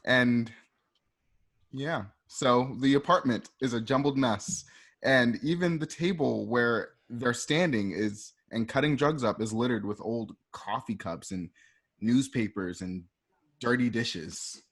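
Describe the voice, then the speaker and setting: A man with a medium-pitched voice serves as narrator for a radio drama. He reads out scene directions informally, a little haltingly, dropping in asides. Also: fairly bright tone.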